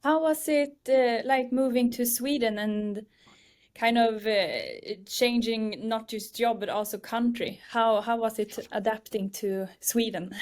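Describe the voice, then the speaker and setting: A woman hosting a podcast, reading a scripted intro and then asking interview questions in a clear, measured way. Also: fairly high pitch, a little mellow. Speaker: podcast host.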